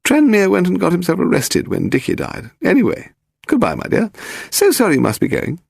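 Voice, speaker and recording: A man's voice: high-pitched, wildly swooping pitch, a little fast, creaky, breathy edge, dry recording, clean studio sound, voice pushed up, exaggerated voice. A man voicing a haughty, pedantic English aristocrat, a frail lady in her eighties, in an upper-class accent for an audiobook story. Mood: imperious, curt. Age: 80s. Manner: pedantic, grand, derisive, demanding. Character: imperious frail aristocratic old lady of eighty-five, malicious.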